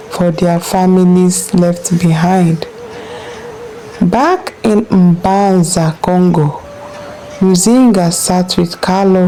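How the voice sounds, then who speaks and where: Slow, mellow woman telling a folktale. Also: medium pitch, a little hiss or hum, rough recording. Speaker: storyteller narrator. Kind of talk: scripted folktale narration.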